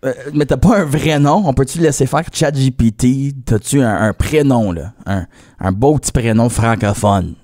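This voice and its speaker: Canadian French male podcast host, mid-pitched. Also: normal speed, a little mellow. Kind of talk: casual interviewing.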